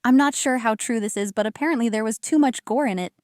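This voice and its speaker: Clear-voiced woman, close and dry. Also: on the high side, fast talker, darkish tone, no room sound. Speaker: video host. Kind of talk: casual reaction commentary.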